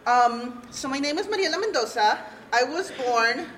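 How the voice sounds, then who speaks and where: A young woman from Peru presents a workshop, telling her own story in a candid, earnest way and letting out light nervous laughs. Her voice sits a little high. She speaks to an audience in a room without a handheld mic, with a faint hum behind her. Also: animated, moderate pace, a bit bright, low-quality recording. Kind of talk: personal testimony at a workshop. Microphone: no handheld mic, room recorder.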